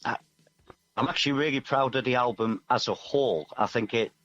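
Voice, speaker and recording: A man's voice: medium pitch, brisk, fairly bright tone, dull audio. A middle-aged man chatting casually and reflectively as a musician guest in a radio interview. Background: music playing underneath.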